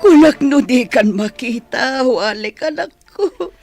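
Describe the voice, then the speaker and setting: Woman acting emotional husky mother, lively pitch. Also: slow pace, faint music behind, exaggerated voice. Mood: tearful relief. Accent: Filipino. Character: anxious, loving mother of a grown son. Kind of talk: audio drama acting.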